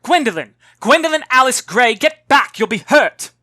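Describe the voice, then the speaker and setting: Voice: high voice, animated, normal speed, pushed and bright, no echo, studio quality, voice pushed up. A narrator voicing a worried mother in a storybook, scolding her child in an exasperated, emphatic way. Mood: alarmed shouting.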